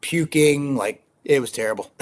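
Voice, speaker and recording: A young man with a slightly raspy voice, talking casually as an interview guest, rambling with lots of fillers and frequent laughs. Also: a little high, huge pitch swings, takes it slowly. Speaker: guest, ultrarunner.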